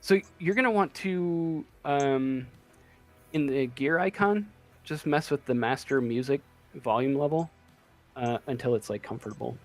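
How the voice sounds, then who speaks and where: A man running a tabletop game as game master, narrating off the cuff and halting as he searches for words. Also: medium pitch, faint music behind.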